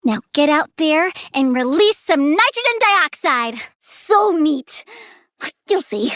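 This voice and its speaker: Bratty high-pitched girl villainess. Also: big pitch swings, takes it slowly, fairly bright tone, no echo, clean studio sound, heavily acted. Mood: gleeful, eager. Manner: childlike, sassy, gleefully morbid, petulant. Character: bratty young girl plant villainess, eager and morbid. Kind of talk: video game character voice acting.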